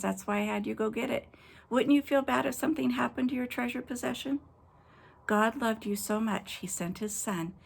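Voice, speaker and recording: A woman pastor telling children a story and a short devotional outdoors at night, speaking gently and directly to the kids. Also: mid-range voice, creaky.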